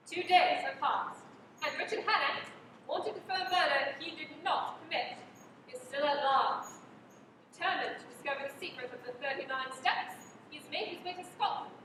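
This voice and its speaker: A woman's voice: normal speed, lightly acted. A young woman giving scripted narration for a radio play. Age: teenager. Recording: very echoey, distant, rumbling background noise.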